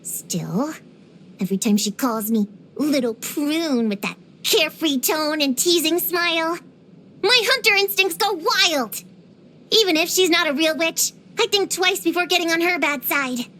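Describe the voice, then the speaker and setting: A woman's voice: very high voice, unhurried, sharp tone, full character voice. A woman voicing a precocious, feisty little girl in a video game, earnest and theatrical with grown-up wording. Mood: solemn, confiding, then excited, emphatic. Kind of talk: scripted video game character voice lines.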